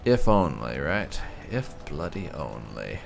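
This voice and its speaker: A man's voice: rumbling low, very animated, slow, gravelly. A man hosting a roleplay game video, giving commentary and speaking in character in a wry, musing way. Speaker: gaming video host.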